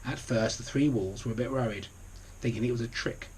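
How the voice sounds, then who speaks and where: A man reading a children's storybook aloud, deliberate, with conversational asides to the listener. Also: medium pitch, a little mellow, slight background noise, poor audio. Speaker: story reader.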